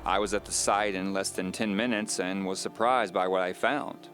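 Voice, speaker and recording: A man playing an uneasy man recounting his own mystery in measured, confiding, scripted audio drama narration. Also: low-pitched, fairly even intonation, over music, natural voice. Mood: wary, resolute.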